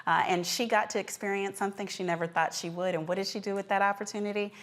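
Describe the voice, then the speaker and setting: A woman's voice: mid-range voice, steady tone, fast, fairly bright tone. A woman with an American accent hosting a news show, presenting stories and giving live commentary. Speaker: guest host of a news show.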